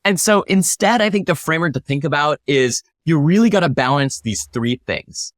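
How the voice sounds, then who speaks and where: A man with a slightly high voice talks as a guest in an interview. He explains ideas conversationally and emphatically, often posing questions to make his point. The sound is dry and close, with little room. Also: expressive, normal speed, dry recording. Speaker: interview guest, startup founder.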